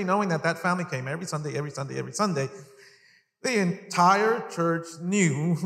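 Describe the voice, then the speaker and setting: Male preacher, mid-fifties, slightly high voice, conversational and repetitive for emphasis, reverberant hall. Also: fairly bright tone. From Long Island, New York, United States (born in El Salvador). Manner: conversational, repeats phrases for emphasis, asks the audience questions. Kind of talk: sermon to a live congregation. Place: large indoor church hall, speaking to an audience. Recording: echoey hall with long reverb.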